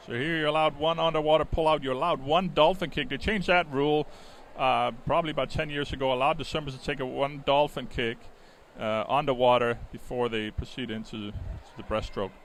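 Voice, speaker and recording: A man's voice: medium pitch, brisk, few pauses. A middle-aged man from Denmark, a native Danish speaker, giving live swimming commentary in a conversational, explanatory way with some hesitation. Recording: steady clear background venue noise. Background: swim meet ambience.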